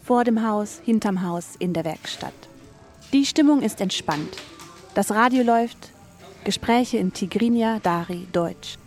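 A woman radio reporter reading a scripted news feature in a clear, even way. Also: mid-range voice, measured pace, soft background music.

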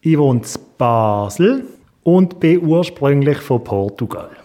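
A man's voice: medium pitch, expressive, takes it slowly, warm tone. A man, a guest giving plain, matter-of-fact answers in a casual interview. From Switzerland. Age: 20s.

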